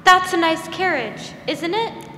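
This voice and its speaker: A woman acts a mysterious little ghost girl in old radio drama style. She speaks slowly and quaintly in a high voice. The sound is echoey, from a theater stage. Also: lively intonation, sharp tone, exaggerated voice. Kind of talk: 1940s radio drama acting. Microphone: stand microphone, masked performer. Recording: echoey theater hall, faint noise.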